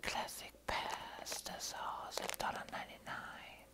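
A woman doing a whispered ASMR show and tell, reading aloud haltingly in short phrases. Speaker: ASMR video creator. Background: crumpling, crinkling paper.

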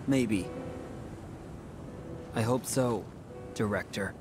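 A man's voice: lowish voice, very slow, music in the background. A man voicing an earnest, idealistic young soldier hero in a video game, with a casual, open and emphatic manner. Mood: sincere, uncertain. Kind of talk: dramatic video game voice acting.

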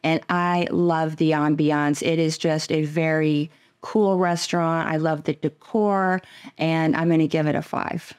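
A woman with a low-pitched voice hosts a casual travel chat, rating cruise restaurants one by one. She talks in an upbeat way and keeps things moving with questions. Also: steady tone, a little slow, darkish tone, clean tone. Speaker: travel vlogger.

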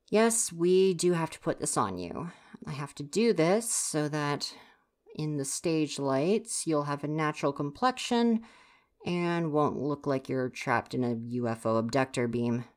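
A woman's voice: deep voice, a little mellow. A young woman playing a grumpy, teasing but secretly caring theater stagehand in a casual ASMR roleplay, sarcastic and chatty. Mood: brisk, bossy. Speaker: roleplay voice performer. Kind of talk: ASMR roleplay, casual one-sided dialogue.

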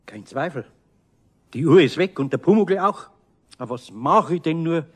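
A mild, trusting old master carpenter with a Bavarian accent. His husky voice sits slightly high and sounds close and dry, full of hesitant ums. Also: animated, moderate pace, dry recording. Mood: worried. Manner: hesitant, many ums and sighs. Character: kindly older male carpenter, mild and trusting.

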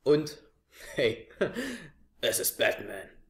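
A man's voice: on the high side, huge pitch swings, very slow, fairly bright tone. A young man presenting a prepared review to camera in a living room. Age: young adult. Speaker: host of an audio drama review show. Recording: echoey small room.

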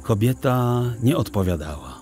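A man narrating a literary story for an audio drama in a measured, expressive and solemn way. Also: lowish voice, faint music behind.

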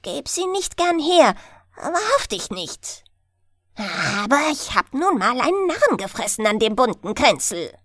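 A woman's voice: fairly high pitch, very animated, a little slow, fairly bright tone, dry recording, clean studio sound, heavily acted. A woman voicing a sly, greedy, spiteful old forest witch in a storybook, coaxing and cackling. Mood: greedy wheedling. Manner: cackling, murmuring, coaxing in whispers. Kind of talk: storybook character voice.

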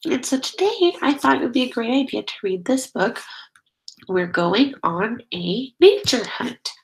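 A woman's voice: on the high side, big pitch swings, unhurried, low-quality recording. A middle-aged woman reading a picture book aloud as a teacher, expressively, drawing out key words and prompting young listeners with questions. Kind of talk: children's read-aloud.

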